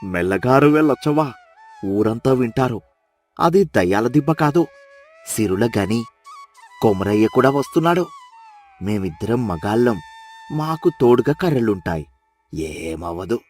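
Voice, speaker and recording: A man's voice: medium pitch, lively intonation, measured pace, pauses often, darkish tone, soft background music, natural voice. A man playing an eager, gullible villager in a moral story, boastful and coaxing toward his nagging wife.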